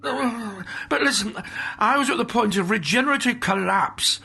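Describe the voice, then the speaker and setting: An actor playing a frantic, rambling male time traveler with a raspy voice. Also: very high voice, moderate pace, full character voice. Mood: flustered. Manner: excitable, rambling.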